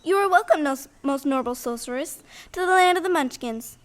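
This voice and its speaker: A woman's voice: high-pitched, lively intonation, a little fast. A woman playing a small, reverent Munchkin in an audio play, speaking in a formal, declamatory way. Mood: reverent gratitude. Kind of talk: stage-style audio play acting.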